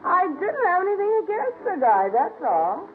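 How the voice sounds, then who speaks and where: A woman's voice: extremely high pitch, big pitch swings, moderate pace. An actress playing an evasive, boozy party girl in a 1950s radio drama, talking in a loose, wheedling way. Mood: defensive, pleading. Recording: tinny old radio recording, music behind.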